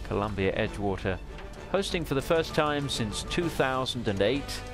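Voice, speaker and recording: A man with a fairly low voice calls the golf action in a smooth, measured broadcast style. A music bed plays clearly underneath him. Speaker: golf play-by-play announcer. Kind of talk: TV golf commentary.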